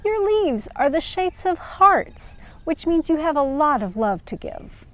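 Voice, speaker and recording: A woman voicing a kindly, nurturing rainbow spirit with a gentle, reassuring manner in a children's story. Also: high voice, big pitch swings, measured pace, pitched above the performer's own voice.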